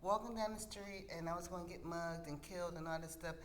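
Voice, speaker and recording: A woman's voice: fairly low pitch, fast, noisy background. A woman hosting a talk show in casual, joking chat, with a playful, blunt, teasing and colloquial manner.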